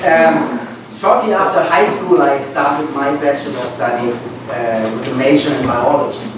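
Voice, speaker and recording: A man's voice: medium pitch, moderate pace. German-accented man lecturing in very echoey room. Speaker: guest lecturer, medical school professor. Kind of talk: informal academic talk to students. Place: lecture classroom, indoors. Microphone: far camera at back of room. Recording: distant, very echoey, noisy room recording.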